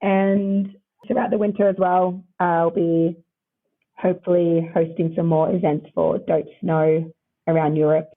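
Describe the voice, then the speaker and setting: A woman with a croaky voice, chatting upbeat as a podcast guest and laughing often between answers. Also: fairly low pitch, little pitch movement, measured pace. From Australia. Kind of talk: casual interview chat. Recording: tinny phone-like line.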